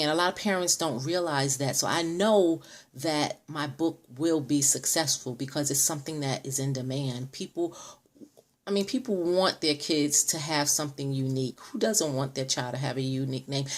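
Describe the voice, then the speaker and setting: A woman in her late forties talking casually to camera, chatty with many ums and restarts, at times stressing words like a preacher. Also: sits low. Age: 40s. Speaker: vlogger. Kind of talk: casual direct-to-camera vlog.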